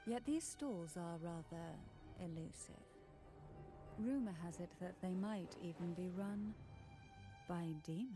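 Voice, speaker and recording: A woman's voice: fairly low pitch, a little slow, close to an everyday voice. An actress playing an enigmatic, alluring woman in a video game, speaking with teasing, theatrical elegance. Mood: sly, enticing. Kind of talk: scripted video game dialogue. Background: game music.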